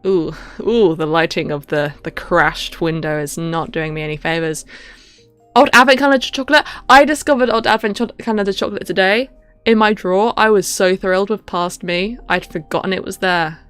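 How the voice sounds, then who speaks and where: Young woman streamer, deep-ish voice, rambling and self-mocking, hums between thoughts, faint music behind. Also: normal speed, fairly bright tone, clean tone. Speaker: livestreamer. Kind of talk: casual game-stream chat.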